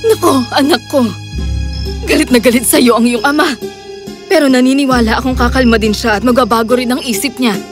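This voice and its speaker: Loving, anxious fairy-tale queen mother, acted by a woman, urgent, slightly high-pitched, distinct music bed. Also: a little fast, close to an everyday voice. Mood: worried, tender. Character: worried, protective queen mother, loving and resolute. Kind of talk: children's fairy-tale dialogue. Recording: music clearly behind the voice.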